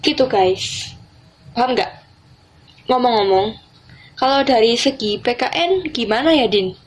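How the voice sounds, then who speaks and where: Teenage Indonesian girl, slightly high voice. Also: animated, unhurried, low-quality recording. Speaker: student podcast member. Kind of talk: explanatory student podcast talk.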